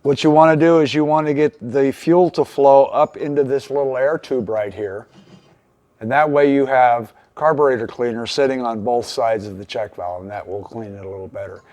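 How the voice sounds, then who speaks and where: Male, mid-pitched, plainspoken and methodical repair-video instructor, folksy turns of phrase. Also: moderate pace, a little mellow. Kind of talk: hands-on tutorial explanation.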